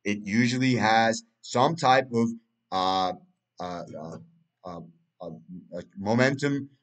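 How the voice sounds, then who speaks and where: A man's voice: on the low side, slow pace. A man, a Turkish speaker, talking through a price chart in casual analysis, rambling with many fillers and restarts. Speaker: crypto market analyst.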